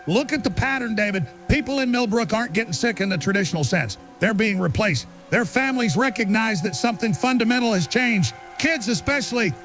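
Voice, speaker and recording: A man's voice: high-pitched, animated, a little fast, few pauses, fairly bright tone, faint music behind. A man acting an earnest, insistent conspiracy researcher being interviewed as a guest in an audio drama. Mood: urgent.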